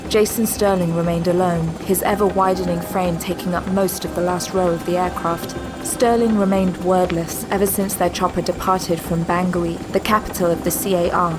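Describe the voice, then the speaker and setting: A woman's voice: fairly low pitch, fairly even intonation, normal speed, hardly any pauses, poor audio. A woman reading scripted dramatic narration for an audio drama. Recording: music under the voice. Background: background music.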